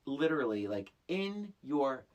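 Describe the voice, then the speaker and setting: A middle-aged American podcast co-host chatting casually about film in an animated, halting, emphatic way, with a husky voice. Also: mid-range voice, lo-fi sound.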